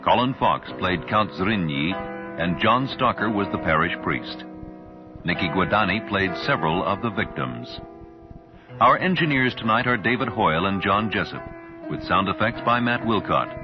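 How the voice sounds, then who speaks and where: A man's voice: low voice, expressive, moderate pace. A man announcing in a scripted radio style, as if presenting a programme's closing credits. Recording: dull old radio recording, music behind. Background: music.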